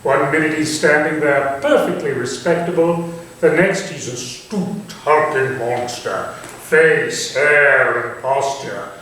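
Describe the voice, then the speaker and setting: A man playing an urbane, theatrical Victorian gentleman with cultivated, deliberate phrasing in a staged reading. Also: on the high side. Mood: enthralled. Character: Sir Walter, urbane Victorian gentleman, theatrical, eagerly intrigued. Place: echoey room or small theatre, staged reading. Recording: very echoey, distant stage recording, faint noise.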